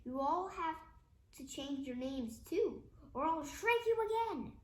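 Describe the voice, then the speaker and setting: A man's voice: very high-pitched, animated, measured pace, echoey room, voice pushed up. A man voicing a gloating, bossy mad professor villain in a children's storybook read-aloud. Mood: taunting threat. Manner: bossy, taunting.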